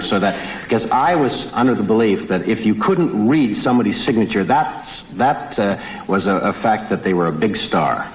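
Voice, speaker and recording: A man hosting a talk show, chatty and halting, with plenty of fillers. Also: deep voice, a little hiss or hum. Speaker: talk-show host and impressionist. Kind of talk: casual talk-show interview. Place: TV studio. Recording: echoey 1970s TV broadcast, audience.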